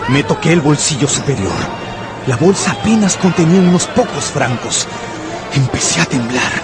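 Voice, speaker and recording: An actor playing an anxious gambler who narrates his own ruin in a radio novel, brooding and intense, with dramatic pauses. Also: on the high side, expressive, music in the background, a crowd in the background, natural voice. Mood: shaken.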